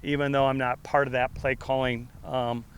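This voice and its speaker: A middle-aged football coach with a loud voice fields questions at a media session. He rambles and restarts, leaning on fillers, his pitch sitting mid-range for a man. A faint hiss runs under the recording. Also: flat delivery, normal speed. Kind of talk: press conference answers.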